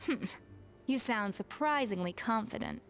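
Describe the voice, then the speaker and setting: A calm, composed former head chef with a slightly high voice. She speaks in an even, measured and dry way. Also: vocal fry, faint music behind. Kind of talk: game voice acting.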